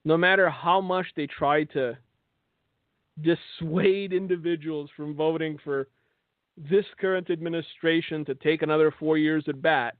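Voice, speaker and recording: Male religious broadcast host, slightly high voice, sardonic monologue with pointed rhetorical questions, thin phone-like sound. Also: fairly even intonation, unhurried. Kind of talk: political and religious monologue commentary. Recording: thin phone-like band, echoey room.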